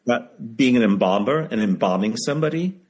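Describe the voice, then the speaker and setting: A man's voice: mid-range voice, expressive, a little fast, darkish tone, breathy edge. A seventy-year-old man talking candidly as an interview guest, emphatic and repeating phrases for stress. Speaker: guest, author and writing teacher. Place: video call.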